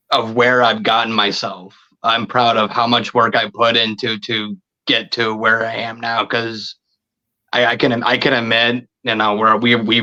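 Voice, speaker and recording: Man, thirties, bright forceful voice, halting repetitive speech, casual interview chat, dull muffled recording. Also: on the low side. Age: 30s. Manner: halting, repeats words and phrases. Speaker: podcast guest, video creator.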